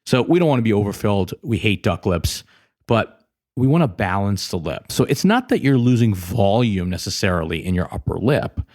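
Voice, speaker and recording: A man's voice: lowish voice, big pitch swings, moderate pace, darkish tone. An American man speaking as a doctor and podcast host, explaining in a conversational, emphatic way and addressing the listener directly. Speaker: plastic surgeon hosting a podcast. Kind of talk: conversational patient-education explainer.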